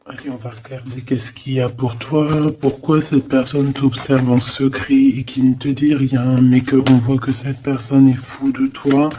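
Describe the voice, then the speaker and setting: A man with a mellow, middle-pitched voice talks at a slow, unhurried pace through a casual tarot reading. He addresses the listener familiarly, repeating phrases as he feels his way along. A faint noise sits behind the voice. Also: level tone. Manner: hesitant, repeats phrases, frequent "hein" tags, familiar address. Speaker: tarot card reader, host.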